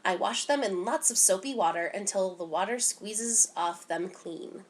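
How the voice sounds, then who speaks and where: A woman reading a picture book aloud to children in an expressive, animated way, giving emphasis to the dialogue. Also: medium pitch, a little slow. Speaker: children's story time reader.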